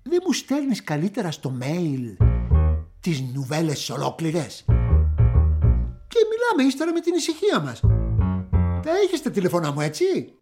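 A patronizing veteran writer, swings his low voice up and down as he coaxes a young journalist. Music runs beneath every line of this radio play. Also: huge pitch swings, pauses often. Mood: eager. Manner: patronizing, coaxing. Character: seasoned male writer advising a young journalist. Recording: music playing underneath.

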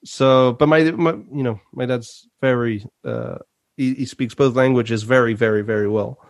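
A man's voice: medium pitch, animated, normal speed, vocal fry, dry recording, studio quality. A man from French-speaking Switzerland talking as a podcast guest in a casual, hesitant, easygoing way. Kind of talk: casual conversational interview.

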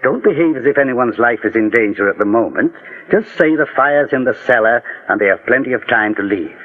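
An actor playing a kindly, shrewd old gentleman detective, measured and formal, in 1940s radio drama. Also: mid-range voice, animated, natural voice. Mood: urgent, firm. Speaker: lead detective. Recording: narrow, tinny 1940s radio recording.

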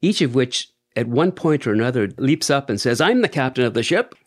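A man's voice: medium pitch, expressive, fairly quick, dry recording, clean studio sound. A man co-hosting a podcast, talking through ideas in a reflective, conversational way and asking his co-host questions. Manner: thoughtful, explains ideas at length, asks questions.

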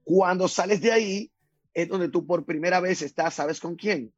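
A man from the Republic, speaking as a guest on a radio talk show, earnestly explaining his ideas and slowing down to stress points. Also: sits high, breathy edge, lo-fi sound. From Dominican Republic.

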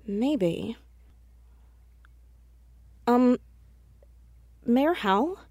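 A woman's voice: fairly high pitch, lively intonation, drawn-out words, a little mellow, dry recording, heavily acted. A woman playing a stubborn, inquisitive teenage girl sleuth in an audio drama, earnest and probing in a casual, argumentative way.

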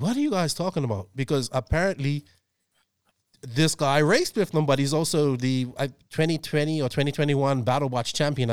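A man's voice: mid-range voice, clear, resonant tone, no echo, clean studio sound. A man hosting a podcast, talking loosely and casually with filler words. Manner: loose, rambling, friendly. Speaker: podcast host. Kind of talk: casual chat.

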